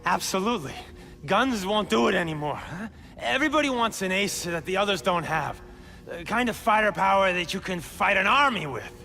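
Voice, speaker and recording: A man's voice: high-pitched, lively intonation, moderate pace, gravelly, sharp tone, exaggerated voice. A man voicing a nervous young street thug informant who stammers and gets defensive. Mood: smug. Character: jittery small-time male street thug informant. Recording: game audio with long reverb and faint music.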